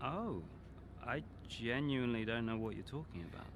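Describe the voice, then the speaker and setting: An actor playing a smug, needling rival racing driver in a video game, falsely matey and mocking, with a drawling delivery. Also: male voice, medium pitch, animated, moderate pace, background noise, natural voice. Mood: feigned innocence. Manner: mocking, drawling, faux-innocent. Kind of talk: animated video game cutscene acting.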